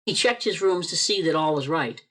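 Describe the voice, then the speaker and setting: A man's voice: fairly high pitch, expressive, moderate pace, fairly bright tone. A man narrating a church radio play over a video call, reading expressively and building suspense.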